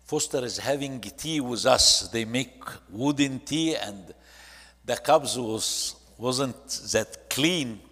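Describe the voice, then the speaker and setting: Egyptian guy giving a church slideshow talk, kinda slow and halting english, big echoey hall. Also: medium pitch, sharp tone, breathy edge. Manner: halting, with short phrases and non-native English grammar. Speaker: church ministry leader presenting to a congregation. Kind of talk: presentation talk narrating photo slides.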